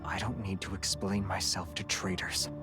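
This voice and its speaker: A man's voice: sits low, flat delivery, moderate pace, lightly acted. An actor playing a cold, guarded young man, a lone fighter in a video game, terse and restrained. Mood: cold defiance. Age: young adult. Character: cold, guarded young male fighter, loner bounty hunter. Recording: music behind the voice.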